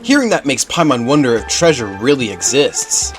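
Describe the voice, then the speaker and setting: A man reads the part of a tiny fairy girl from a fantasy game, chatty, childish and full of curiosity. The delivery is very animated, with faint music behind. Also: medium pitch, normal speed, close to an everyday voice. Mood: agreeable. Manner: talks of self in third person, inquisitive.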